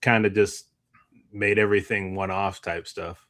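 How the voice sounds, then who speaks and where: Low-pitched man in halting, rambling chat. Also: flat delivery, a bit bright. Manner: rambling, halting, often breaking off and rewording. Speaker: podcast co-host. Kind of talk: casual chat.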